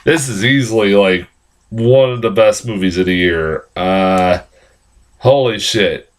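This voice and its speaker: Young male reviewer, low-ish voice, hoarse and nasal from a cold, loose and profane delivery. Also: measured pace. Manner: rambling, frequent fillers and profanity. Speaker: film reviewer. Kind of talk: casual vlog review.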